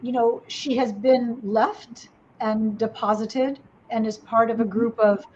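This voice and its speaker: Host: a woman with a slightly high voice, asking long winding questions over dull lo-fi video call audio. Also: fairly even intonation, unhurried, a little mellow, slight background noise. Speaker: host interviewer. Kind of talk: casual interview.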